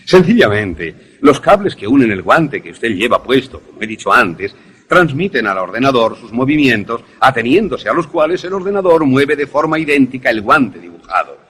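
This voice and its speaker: Theatrical professor, lively swooping intonation, slightly husky, acted by a man. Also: mid-range voice. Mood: pleased, explanatory. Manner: didactic, theatrical, deliberate. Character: enthusiastic male professor demonstrating an invention to students. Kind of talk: suspense radio drama acting.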